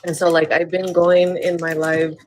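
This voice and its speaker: An American woman with a slightly raspy, under-the-weather voice, chatting hesitantly as a livestream guest and repeating her phrases. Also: on the low side, flat delivery, moderate pace, a little mellow, a little hiss or hum. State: recovering from sickness, throat-clearing. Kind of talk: casual political chat.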